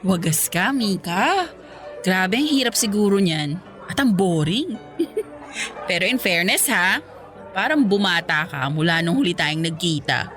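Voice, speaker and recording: A woman's voice: lowish voice, big pitch swings, unhurried, fairly bright tone, soft background music. An actress playing a chatty, candid single career woman, breezy and teasing with her friend in radio drama dialogue.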